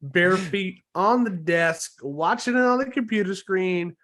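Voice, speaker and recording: A man's voice: high voice, unhurried, a bit bright. A young man hosting a casual podcast chat, recovering from a cold with an occasional cough, telling stories animatedly and swearing freely. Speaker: podcast host.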